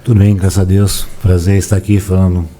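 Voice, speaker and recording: A man, a relaxed, soft-spoken storyteller reminiscing in a casual interview. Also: sits low, a little fast. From São Paulo, Brazil. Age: 60s. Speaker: interview guest, former footballer.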